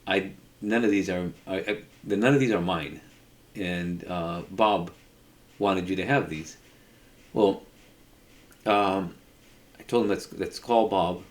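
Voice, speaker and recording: A middle-aged man telling an unscripted personal story in a halting way, often repeating words as he goes. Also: low-pitched. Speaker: storyteller, video creator.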